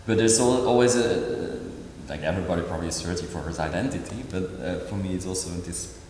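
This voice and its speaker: Deep-voiced halting man in an echoey hall. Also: a little mellow. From Indonesia. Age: 40s. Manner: halting, many fillers and restarts. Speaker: artist. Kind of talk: interview. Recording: echoey hall with faint noise.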